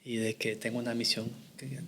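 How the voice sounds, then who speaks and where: A man's voice: mid-range voice, little pitch movement, a little fast, fairly bright tone, slight background noise. A middle-aged man from Ecuador, a missionary guest in a podcast interview, telling his faith story in an earnest, reflective way with short restarts.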